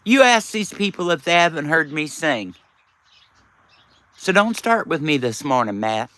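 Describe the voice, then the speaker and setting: Man streamer, raspy, bright lively voice, teasing banter, dry close sound. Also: fairly high pitch, measured pace, no echo. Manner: teasing, chatty, reads out and answers viewers. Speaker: live streamer. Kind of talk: casual live-stream chat with viewers.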